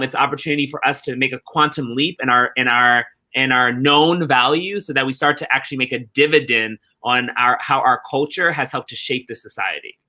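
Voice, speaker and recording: A man with a very bright, forceful voice at a medium pitch. He speaks as an earnest interview guest, deliberate and reflective, building points through rhythmic repetition. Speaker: interview guest, theatre director.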